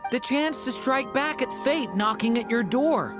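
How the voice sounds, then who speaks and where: A woman's voice: a little high, animated, unhurried. A woman voicing a young, earnest, grateful non-human female being with an open, wondering manner in a video game. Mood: friendly. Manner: warm-hearted, wondering, open. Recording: game audio with clear music behind.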